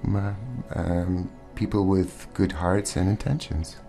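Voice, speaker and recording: A very deep male voice answers questions as a studio guest on a radio show. He is earnest and hesitant, filling his pauses with ums. Soft music hums faintly in the background. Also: steady tone, creaky. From born in Armenia, raised in Montreal. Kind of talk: casual interview answers. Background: faint ambient music.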